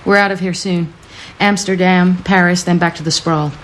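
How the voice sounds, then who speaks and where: An actress playing a tough, no-nonsense female mercenary in a radio drama, dry and brisk. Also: fairly low pitch, steady tone, fairly bright tone, a little hiss or hum, natural voice. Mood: dry, matter-of-fact. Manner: brisk, dry, hard-edged.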